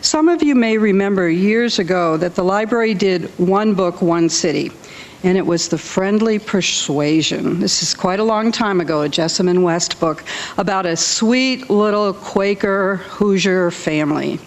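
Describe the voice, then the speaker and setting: A woman hosting a public event, giving a welcome speech and introducing speakers. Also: measured pace. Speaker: library host introducing speakers at a launch event. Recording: echoey hall, rumbling room noise.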